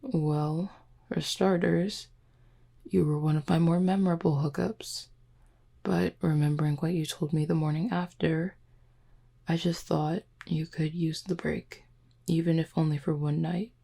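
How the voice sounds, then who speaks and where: A woman voicing a flirty, composed female werewolf second-in-command in an audio roleplay, teasing and measured, with a low, husky voice. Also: fairly even intonation, mellow, full character voice. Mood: earnest, composed. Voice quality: low, husky, breathy with some creak. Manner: teasing, measured, deliberate wording. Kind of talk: roleplay audio drama, intimate one-sided dialogue.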